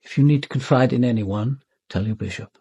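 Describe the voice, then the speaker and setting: An actor playing a composed, authoritative senior detective in a radio drama, with a British accent and a measured, matter-of-fact delivery. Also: male voice, mid-range voice, dark tone, breathy edge, no room sound, natural voice. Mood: measured, reflective. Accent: British English. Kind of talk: radio drama narration and dialogue.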